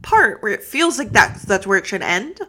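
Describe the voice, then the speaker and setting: Bright, animated woman co-host. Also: mid-range voice, moderate pace, a little hiss or hum. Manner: animated, acts out quoted dialogue. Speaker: podcast co-host. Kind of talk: casual chat.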